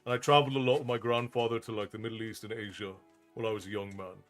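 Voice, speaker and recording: Relaxed chatty streamer guy, irish accent with american mixed in, soft music behind. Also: lowish voice, rapid-fire. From Ireland. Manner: relaxed, chatty, thanks viewers often. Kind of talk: casual chat with viewers.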